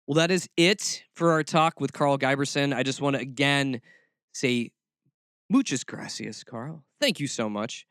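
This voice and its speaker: A man hosts in a casual, effusive style. His voice is medium in pitch and informal in delivery, captured dry with little room around it. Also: a little slow, fairly bright tone, dry recording. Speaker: show host. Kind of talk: casual interview hosting.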